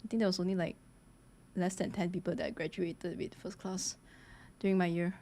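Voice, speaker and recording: A young woman from Malaysia chatting casually as she reacts to a game, expressive and prone to repeating phrases. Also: lowish voice, normal speed, a little hiss or hum. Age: young adult. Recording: game music behind the voice.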